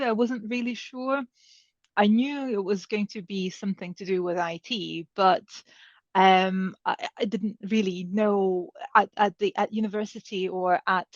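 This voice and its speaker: A woman's voice: medium pitch, no room sound. Woman, dull dry recording. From Serbia. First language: Hungarian. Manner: conversational, many fillers and restarts. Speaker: panel speaker. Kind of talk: career advice talk.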